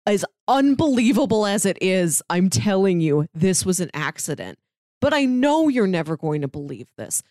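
American woman podcast host, chatty rambling storyteller, mid pitch, really dry sound. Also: big pitch swings, moderate pace, a little mellow, no room sound. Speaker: podcast co-host discussing comics and books. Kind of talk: casual chat, retelling stories.

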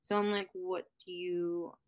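A woman's voice: fairly low pitch, level tone, a little slow. A young woman from the United States, a podcast guest chatting casually and leaning heavily on fillers. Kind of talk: casual interview chat.

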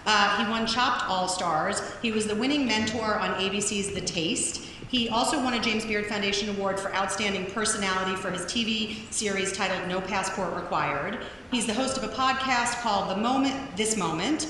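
A woman with a bright, forceful voice reads out a long, upbeat introduction and then moves into interview questions. Her voice echoes heavily through a large hall, with a long reverb tail and faint ambience behind it. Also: mid-range voice, normal speed, hardly any pauses, slight background noise. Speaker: interviewer, event moderator. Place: large room at an event.

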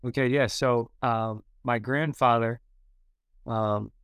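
A man's voice: medium pitch, fairly even intonation, takes it slowly, a bit bright, smooth, dry recording, studio quality. A man of about thirty talking casually as a podcast guest, laid-back and matter-of-fact with many fillers. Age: 30s. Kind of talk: casual interview talk.

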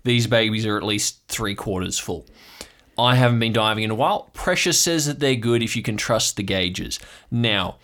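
A man's voice: fairly low pitch, moderate pace, a bit bright, lightly acted. A man playing a chatty, eccentric, conspiracy-minded uncle who tinkers with boats, rambling and blustery in an improvised audio drama. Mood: practical, paranoid. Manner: rambling, blustery, goes off on tangents.

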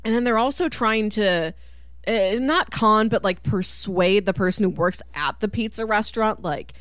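A woman chatting casually about film as a podcast co-host, with an animated, enthusiastic and giggly manner. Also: mid-range voice, moderate pace, clean tone, dry recording, studio quality. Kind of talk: casual chat.

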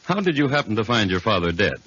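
A man playing a wry true-crime chronicler, narrating a 1950s radio drama with dry, deliberate humour and a husky voice. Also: lowish voice, sing-song, fairly bright tone, slight background noise. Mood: probing. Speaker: narrator and host. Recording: dull lo-fi 1950s radio recording.

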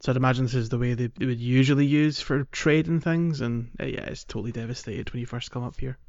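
A man's voice: medium pitch, fairly even intonation, quick pace, a little mellow, highs cut off. A man co-hosting a gaming podcast, chatting casually in a rambling, jokey way. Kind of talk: casual chat about a video game.

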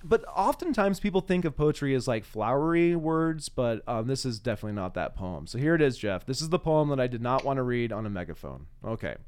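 A man's voice: medium pitch, very animated, fairly quick, hardly any pauses, a little mellow, smooth. A man hosting a podcast, chatting informally and laughing easily as he interviews a guest. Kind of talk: casual interview chat.

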